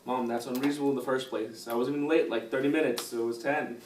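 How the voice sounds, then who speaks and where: A man's voice: medium pitch, fairly even intonation, fast talker, close to an everyday voice. Guy acting as exasperated teen boy griping about his mom in amateur therapy role-play, echoey and far from the mic. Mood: exasperated, argumentative. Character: defensive sixteen-year-old son at family therapy, exasperated with mother. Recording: roomy, distant mic with faint noise.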